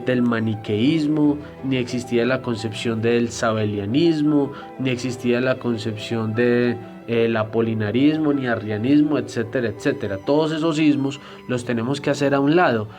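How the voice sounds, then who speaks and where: A man giving a church history lesson in a deliberate, explanatory way, often pausing with hesitation fillers. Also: medium pitch, a little mellow. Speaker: Bible teacher.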